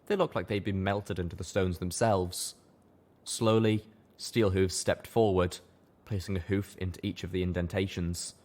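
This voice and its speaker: A man narrating a first-person fantasy audiobook in a steady storytelling manner. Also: deep voice, moderate pace.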